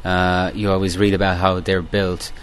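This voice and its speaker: Podcast interviewer, deep voice, loose wandering questions, faint room hum. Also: male voice, flat delivery, moderate pace. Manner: long rambling questions with fillers. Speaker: podcast host.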